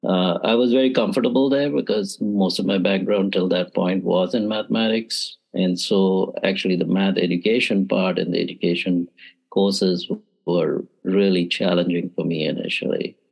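Deep gravelly-voiced man with many fillers. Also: fairly even intonation, moderate pace, rough recording. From India. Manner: frequent "uh" and "you know" fillers, thoughtful. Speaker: math education professor, podcast guest. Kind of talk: relaxed interview answers.

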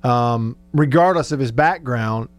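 A man's voice: medium pitch, measured pace, soft background music. A man hosting sports talk radio in a casual, conversational, informal way.

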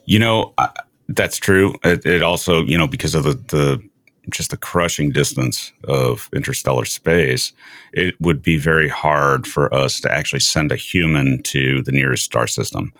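A man hosting a casual science interview, musing aloud in a measured way. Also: rumbling low, a bit bright, no room sound, clean studio sound. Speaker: show host, interviewer.

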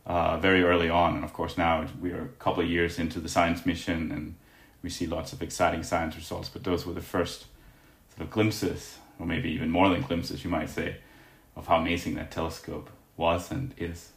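A man from Denmark, a guest scientist, answering interview questions in a halting way with fillers. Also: sits low, little pitch movement, vocal fry, reverberant.

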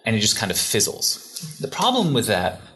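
A man's voice: on the low side, wildly swooping pitch, moderate pace, sharp tone. A man from Hungary, a guest expert, answering interview questions in a thoughtful, conversational way with frequent restarts.

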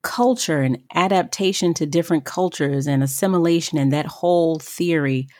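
A woman hosting a podcast, introducing the show and interviewing, with a husky voice. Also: deep voice, moderate pace, darkish tone. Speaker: podcast host.

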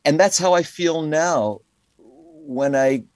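A man's voice: fairly high pitch, takes it slowly, a little mellow, dry recording. Middle-aged male musician, interview guest: casual, rambling, enthusiastic, dry close sound. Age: middle-aged or older. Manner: rambling, enthusiastic, frequent "you know" and "like". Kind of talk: casual, reflective interview conversation. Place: calling in remotely to a podcast.

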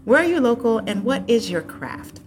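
Lively, high-voiced podcast hostess. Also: female voice, moderate pace, faint music behind. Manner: encouraging listener, frequent affirmations, easy laughs. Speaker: podcast host. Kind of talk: casual podcast interview.

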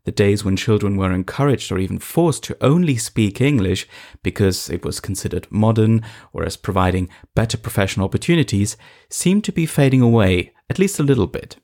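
A man from eastern Germany narrating a reported feature in a measured, scripted way. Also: on the low side, expressive, darkish tone. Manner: measured, storytelling delivery.

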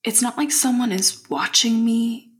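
A woman playing an unsettled young woman secretly confiding an audio diary in a halting, breathy half-whisper. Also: a little high, fairly even intonation, natural voice. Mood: uneasy, hushed. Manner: halting, hushed, introspective. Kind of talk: intimate found-footage audio diary acting.

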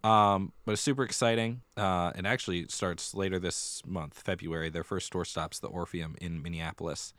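An American man with a low, smooth voice talks casually as a podcast co-host. His delivery is wry, and the close recording has very little room sound. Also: fairly even intonation, moderate pace, hardly any pauses, fairly bright tone, no room sound. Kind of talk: casual theatre news chat.

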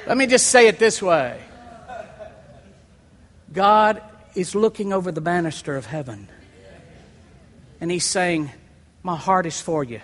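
A man preaching a sermon, building to shouted peaks and dropping low, with folksy humorous asides. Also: lively intonation, unhurried, long pauses. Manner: builds to shouting crescendos, then drops low. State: sweating and worked up. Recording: long hall reverb.

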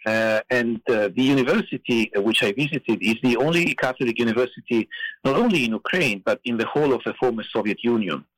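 Middle-aged Italian-accented man, dry studio sound, halting storyteller. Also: mid-range voice, lively intonation, a bit bright, dry recording, low-quality recording. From Italy. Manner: hesitant, many "uh" and "you know" fillers. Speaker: guest, theology professor. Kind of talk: podcast interview storytelling.

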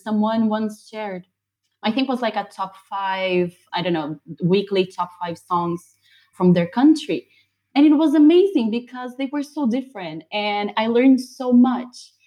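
A woman's voice: mid-range voice, darkish tone. A woman from Brazil whose first language is Portuguese, speaking English as a guest in a conversational interview with an eager manner. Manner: eager, quick agreeing interjections.

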